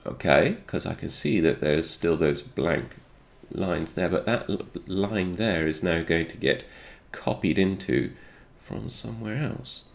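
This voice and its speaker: A man's voice: deep voice, expressive, measured pace, vocal fry, hardly any pauses. A man with a slightly congested voice, recovering from a cold, thinking aloud through an unscripted technical walkthrough. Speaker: host of a retro game programming video.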